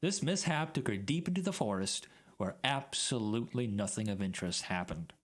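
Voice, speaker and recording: A man's voice: on the low side, animated, moderate pace. A man giving a dramatic, mock-serious reading of a comic story, addressing the listener directly. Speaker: storyteller, streamer reading aloud. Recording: music added behind the voice. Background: music and sound effects.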